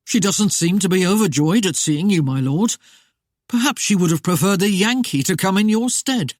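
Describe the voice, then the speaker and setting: A woman's voice: medium pitch, lively intonation, moderate pace, darkish tone, no room sound, pitched above the performer's own voice, close to an everyday voice. A smug sheriff, put on by a woman narrator, oozing mock courtesy. His lines are taunting and dry-sounding. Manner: chortling, mock-polite. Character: smug mocking male sheriff.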